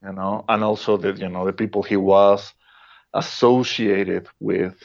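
A man's voice: lowish voice, steady tone, measured pace, gravelly, a little mellow. Mexican-accented man, muffled lo-fi sound. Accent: Mexican Spanish. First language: Spanish. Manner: hesitant, many restarts and fillers, laughs often. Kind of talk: casual podcast conversation. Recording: dull lo-fi sound.

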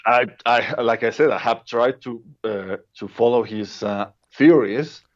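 A man's voice: mid-range voice, unhurried. A man with a Mexican Spanish accent, a native Spanish speaker, chatting casually on a podcast, hesitant with many restarts. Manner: hesitant, many restarts and fillers, laughs often. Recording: dull lo-fi sound.

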